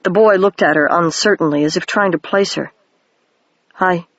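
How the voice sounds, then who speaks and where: An audiobook reader narrating a children's fantasy novel in a steady, even storybook style, on a dry, dull lo-fi home recording. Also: medium pitch, a little fast, no echo.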